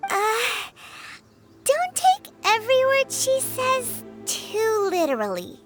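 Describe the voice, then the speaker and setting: A woman's voice: extremely high pitch, wildly swooping pitch, slow pace, music in the background, exaggerated voice. A woman voicing a tiny, childlike fairy companion in a video game, bubbly and plain-spoken. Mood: doubtful. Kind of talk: video game character acting.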